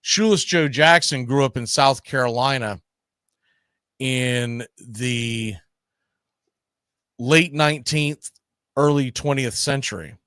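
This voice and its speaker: A man's voice: medium pitch, slow, long pauses, a bit bright, smooth, dry recording. Male sports talk host, mid-range voice, emphatic storyteller, live-show commentary, dry recording.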